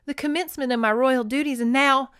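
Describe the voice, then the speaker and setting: A woman's voice: high-pitched, fairly even intonation, quick pace, a bit bright, clear, resonant tone, no echo, studio quality, pitched above the performer's own voice. A woman voicing an earnest, dutiful young princess, nervous but resolute, in formal speech from a romance novel. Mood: anxious, resolute. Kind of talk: dramatic romance novel reading.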